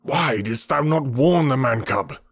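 A man's voice: lowish voice, animated, normal speed, no room sound, studio quality. A man doing a stern scornful panther.

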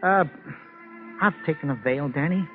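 An actor playing a nervous, shifty small-time hustler in an old radio drama, with hesitant, wheedling, mock-genteel patter. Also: male voice, fairly high pitch, a little slow, lightly acted. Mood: evasive patter. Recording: muffled vintage radio recording, faint music.

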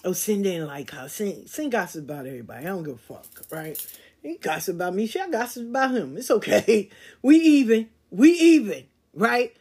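Husky woman telling a candid story. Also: mid-range voice, animated, moderate pace. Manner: candid, storytelling, swings from loud emphasis to quiet. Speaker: podcast host. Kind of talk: casual monologue.

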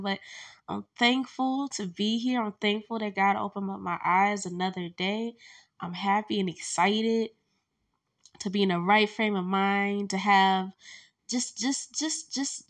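A young woman hosts her podcast from home, talking loosely and candidly through fillers and laughs. Her voice sits at a middle pitch with a gravelly edge, and she sounds stuffy, congested from allergies while lying down. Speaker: podcast host. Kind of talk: casual solo podcast chat.

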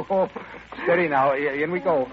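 An actor playing a suave, playful romantic gentleman, urbane and teasing, in an old-time radio drama. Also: on the high side, normal speed, close to an everyday voice. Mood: tender, amused. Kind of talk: 1940s-style radio play acting. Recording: muffled, noisy old radio broadcast.

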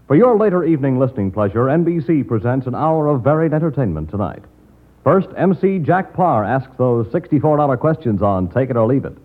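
American guy announcing on old radio, very lively swooping delivery, slightly muffled sound. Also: medium pitch, a little fast.

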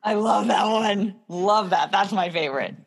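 Husky woman co-hosting a podcast. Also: mid-range voice, moderate pace. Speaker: podcast co-host. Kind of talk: casual enthusiastic chat.

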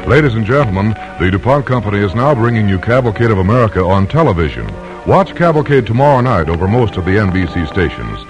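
Animated male vintage radio announcer. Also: on the low side, fast talker, few pauses, poor audio. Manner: polished, emphatic. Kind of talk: formal 1950s radio announcing. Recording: old radio broadcast recording. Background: orchestral music.

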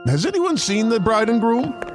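A man's voice: very high-pitched, very animated, normal speed, music in the background. A man playing a wedding officiant in a comic ad, with a pompous, ceremonial delivery. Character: flustered male wedding officiant. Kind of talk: comic radio ad acting.

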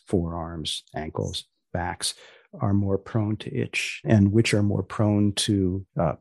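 A man with a low, creaky voice hosts a podcast in a deliberate, deadpan manner. His tone is husky, and the sound is close and dry. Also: dry recording. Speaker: podcast host and magazine editor. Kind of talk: podcast interview with scripted introduction.

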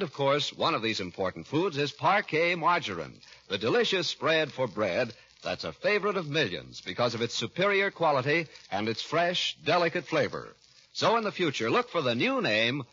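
A man's voice: fairly high pitch, bright, forceful tone, breathy edge. An American man announcing in a polished, emphatic, scripted 1940s radio style. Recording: dull vintage 1940s radio recording.

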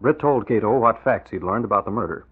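Low-voiced vintage radio narrator, a man. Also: husky. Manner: formal, measured storytelling. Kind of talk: 1940s radio scripted narration. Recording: noisy, tinny old radio recording.

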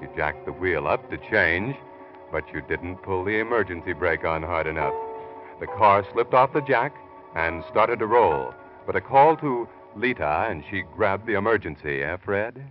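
Deep, menacing radio thriller narrator. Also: male voice, expressive. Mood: knowing, taunting. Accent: American. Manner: taunting, deliberate second-person storytelling. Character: knowing, menacing male narrator addressing the guilty man. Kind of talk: classic American radio thriller acting. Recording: muffled old radio recording, loud music.

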